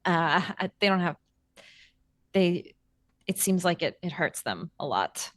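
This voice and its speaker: A woman improvises casual roleplay at a tabletop game. Her delivery is slow and tentative, feeling out each thought. The sound is dry, with little room to it. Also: fairly low pitch, big pitch swings, fairly bright tone, no room sound. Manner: hesitant, tentative. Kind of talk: casual in-character improvised chat.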